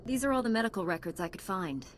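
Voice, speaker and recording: A woman playing a noble, self-assured Jedi warrior in a video game, calm and measured. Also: medium pitch, a little hiss or hum, close to an everyday voice. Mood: composed. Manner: composed, measured.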